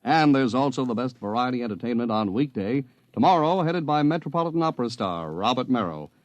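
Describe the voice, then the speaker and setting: A man's voice: mid-range voice, lively intonation, fairly quick, no echo, highs cut off. A man reading a 1950s radio network promotion in an upbeat, salesy manner. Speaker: network commercial announcer.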